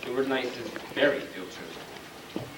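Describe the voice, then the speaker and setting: A man's voice: medium pitch, moderate pace, lightly acted. A man playing a pompous, exasperated storybook king in a stage comedy, speaking with dry deliberation. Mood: pompous, reasoning. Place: school stage, live play performance. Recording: distant, noisy, echoey room recording of play.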